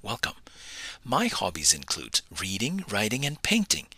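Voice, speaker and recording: A man teaching a beginner language lesson, repeating each phrase slowly and letting his phrase endings fall away breathily. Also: fairly low pitch, wildly swooping pitch, pushed and bright, husky, no echo. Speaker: language instructor. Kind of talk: slow, deliberate language lesson narration.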